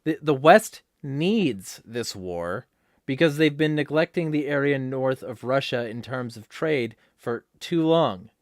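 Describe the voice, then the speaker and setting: A man's voice: expressive, slow, no echo, studio quality. A man hosting a casual livestream, rambling and swearing as he reads chat. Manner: profane, rambling, breaks into low, quiet, menacing voice. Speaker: livestream host reading chat.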